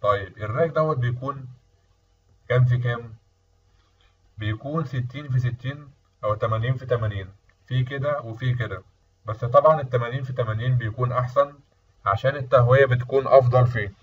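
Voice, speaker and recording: A male instructor with an Egyptian accent explains technical material in a lecture style. His voice is mid-pitched and slightly rough, and he poses questions that he then answers. The recording sounds dull, as if the mic sits some way off. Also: fast, far from the mic. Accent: Egyptian Arabic. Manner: asks and answers own questions.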